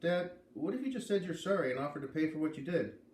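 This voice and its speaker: A man's voice: a little high, very animated, fast, a little mellow, voice pushed up. A man reading the part of a concerned, earnest young boy who questions and pleads in a storybook. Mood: pleading. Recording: echoey room with clear background noise.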